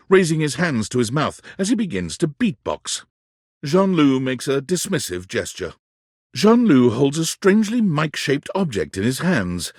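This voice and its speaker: Wry game narrator, husky, hugely varied intonation, dramatic scripted delivery, dry studio sound. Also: male voice, mid-range voice, dry recording.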